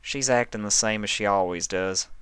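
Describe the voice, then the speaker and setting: A man's voice: on the low side, moderate pace, a bit bright, clean tone, no echo, natural voice. A man voicing a plainspoken, uneasy female country farm pony with a hesitant, folksy manner in a story reading. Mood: glum.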